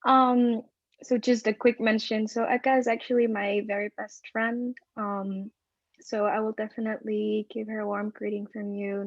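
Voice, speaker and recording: A young woman from South Greenland speaks slowly and earnestly, pausing often on fillers. Her voice sits fairly high. She is heard over a dry, slightly dull video call line. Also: dry recording. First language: Kalaallisut. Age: young adult. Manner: earnest, hesitant, many fillers. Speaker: youth panelist. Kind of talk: online panel discussion.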